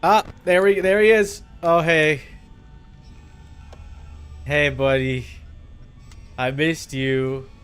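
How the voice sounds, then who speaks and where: A man's voice: fairly high pitch, fairly even intonation, very slow, pauses often, fairly bright tone, clear, resonant tone, faint music behind. A man streaming a game with casual, playful live commentary, chatting to viewers and to the game's characters.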